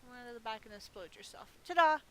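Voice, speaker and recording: A woman's voice: on the high side, lively intonation, very fast, sharp tone. A woman giving casual, playful commentary as she plays a video game, chatty and muttering asides to herself. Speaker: gaming video host, player.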